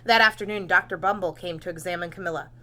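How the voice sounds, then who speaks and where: A woman teacher reading a storybook to preschoolers, expressive and dramatic, pausing for simple questions and explanations. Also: on the low side. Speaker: preschool read-aloud teacher. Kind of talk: children's storybook narration with asides and questions.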